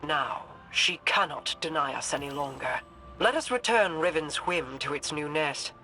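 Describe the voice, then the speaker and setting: A woman's voice: sits low, lively intonation, moderate pace, bright, forceful tone, soft background music. A woman playing a regal, resolute commander in a video game, giving formal, composed guidance. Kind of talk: video game mission dialogue.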